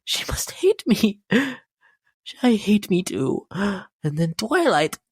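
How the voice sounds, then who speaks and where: A woman voicing a deranged, refined female pony in a horror dramatic reading, genteel and theatrical, with a breathy voice that often drops to a whisper. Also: mid-range voice, big pitch swings, dry recording, clean studio sound, heavily acted. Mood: guilty, distraught. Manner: theatrical, genteel, drawn-out delivery. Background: background music.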